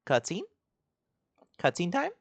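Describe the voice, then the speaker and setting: A woman's voice: on the low side, wildly swooping pitch, slow, vocal fry, no room sound. A woman giving casual, irreverent, slangy reaction commentary while streaming a video game.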